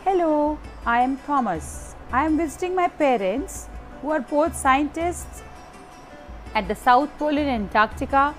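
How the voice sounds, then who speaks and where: A woman's voice: sits high, animated, unhurried, soft background music, pitched above the performer's own voice. Eager storybook boy, read by a woman. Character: excited young boy visiting the South Pole.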